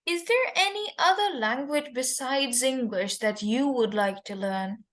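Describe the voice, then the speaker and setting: Slow, high-voiced woman examiner. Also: a bit bright. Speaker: exam interlocutor. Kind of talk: mock speaking test.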